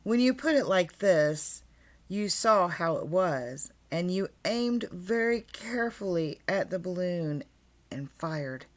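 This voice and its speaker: A woman's voice: fairly low pitch, lively intonation, slow. A woman reading a children's storybook aloud as storyteller, expressively, with asides to young listeners.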